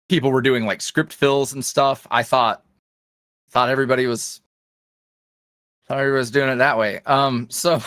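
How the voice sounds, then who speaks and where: A man's voice: mid-range voice, fairly bright tone, no echo. Male guest, chatty and meandering, dry close sound. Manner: rambling, filler-heavy, self-deprecating. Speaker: podcast guest, ASMR roleplay creator. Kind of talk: casual interview chat.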